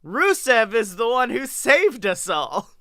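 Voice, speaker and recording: A young man co-hosting a podcast in casual comedic chat, animated, joking and freely swearing. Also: very high-pitched, slow pace, no echo, studio quality. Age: young adult. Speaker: podcast co-host. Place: bedroom-like room at home, video call.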